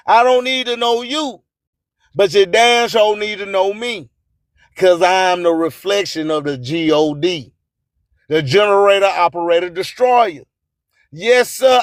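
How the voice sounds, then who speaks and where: A man with a high voice delivers a slow, rhyming spoken-word radio monologue. He proclaims boastfully and emphatically, stretching out his phrases like a preacher, with a dry, close sound. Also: no echo. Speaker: radio host and music promoter.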